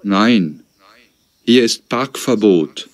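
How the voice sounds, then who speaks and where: A man's voice: lowish voice, animated, extremely slow. A man playing a strict parking warden, speaking firmly in a scripted exam dialogue. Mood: stern. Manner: stern, firm.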